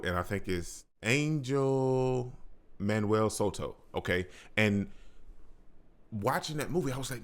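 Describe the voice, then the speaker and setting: A man chatting casually as a movie reviewer on his own channel, in a colloquial, slangy and enthusiastic way. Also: on the low side. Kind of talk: casual video review chat.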